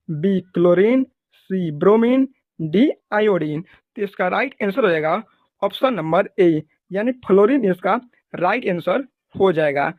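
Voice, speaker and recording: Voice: mid-range voice, no echo, narrow, tinny audio. An exam prep teacher, methodically reading out quiz questions, options and answers in an instructional way. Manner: methodical, instructional, repetitive set phrasing.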